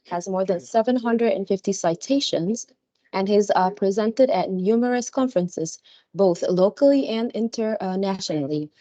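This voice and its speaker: A woman reads a formal introduction for the next speaker, pausing on small fillers. Her voice is mellow and low in brightness. The sound is dry and somewhat muffled. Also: no room sound. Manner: steady, formal, with hesitant fillers. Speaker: webinar moderator introducing the speaker.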